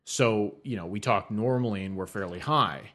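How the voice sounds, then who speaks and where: A man co-hosting a podcast, chatting casually in a loud voice with a blunt, matter-of-fact manner. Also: fairly low pitch, normal speed. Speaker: podcast co-host. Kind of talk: casual tech chat. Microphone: microphone through a mixer.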